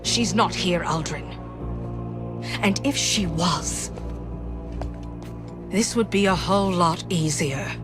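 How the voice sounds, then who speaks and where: A woman's voice: fairly low pitch, slow, long pauses. A woman playing a stern, resolute warrior leader with a terse delivery in a video game. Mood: cold. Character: stern, grieving female warrior leader of her people. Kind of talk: dramatic game cutscene acting. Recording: music behind the voice.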